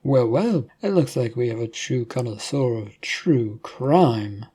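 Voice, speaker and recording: A man's voice: mid-range voice, lively intonation, slow pace, dark tone, breathy edge. A man voicing an evasive, eerily courteous realtor in a horror story, with hesitant, salesman-like patter. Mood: evasive, flustered. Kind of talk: storybook horror character acting.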